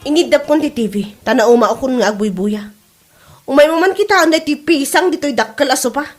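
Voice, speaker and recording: A woman's voice: high voice, a little fast, faint music behind, natural voice. A woman acting the part of a fed-up, sarcastic young wife who nags, in a comedy drama. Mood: irritated.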